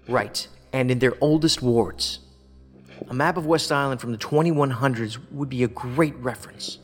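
An actor playing a confident, sardonic federal investigator in a science fiction audio drama, probing and teasing in dry banter. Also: male voice, mid-range voice, moderate pace, husky, in a large hall, faint music behind, lightly acted. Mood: focused, confident.

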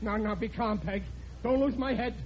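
An actor playing an excitable, bumbling middle-aged father in an old radio comedy, blustering and muddled. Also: sits high, moderate pace, a crowd in the background, lightly acted. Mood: frantic, blustering. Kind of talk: 1940s-50s radio situation comedy acting. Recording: muffled, noisy vintage radio recording.

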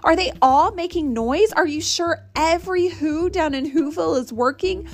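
A woman's voice: high-pitched, expressive, slow, a little mellow, voice pushed up, full character voice. A woman voicing an earnest, loyal male elephant who pleads with a big heart in a storybook reading. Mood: urgent.

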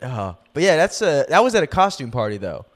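A man's voice: a little high, big pitch swings, a little fast. A young man co-hosting a podcast in casual chat, joking and laughing a lot, slipping into mock voices. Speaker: podcast co-host.

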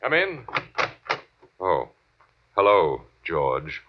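Wry American prisoner, slightly low and animated, hesitant, dulled 1950s radio sound. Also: male voice, very slow, over music, exaggerated voice. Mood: wry resignation. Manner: sardonic, hesitant. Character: wry, resigned human captive in alien zoo. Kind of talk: 1950s radio drama acting. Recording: muffled old radio drama recording.

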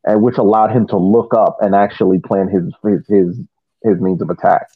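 A man's voice: lowish voice, fairly even intonation, slightly rough, no room sound, narrow, tinny audio. A man giving casual soccer analysis as a podcast panelist, often repeating words as he works through his point. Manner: halting, repeats words while reasoning.

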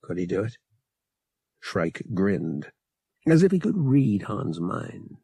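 Male fiction narrator: dramatic scripted read, deliberate pacing, highly animated intonation, dry close sound. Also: creaky, breathy edge, no echo. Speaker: audiobook narrator.

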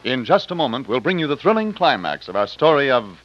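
A man's voice: mid-range voice, sing-song, fairly quick, lightly acted. A man announcing and narrating a dramatic radio serial in an old-time broadcast style. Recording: dull old radio recording. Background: organ music.

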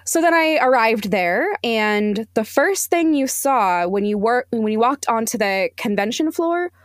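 A woman's voice: a little high, expressive, unhurried, no echo, studio quality. A woman from Arizona speaking as a podcast guest in casual interview chat, opinionated, wry and sweary. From Arizona, United States.

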